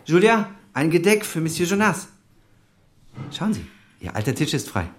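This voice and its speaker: Nosy jovial restaurant owner in a radio play, super animated, chatty, fussing over guests. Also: male voice, on the high side, normal speed. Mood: jovial, welcoming. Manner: jovial, solicitous, prying. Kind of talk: German radio play acting.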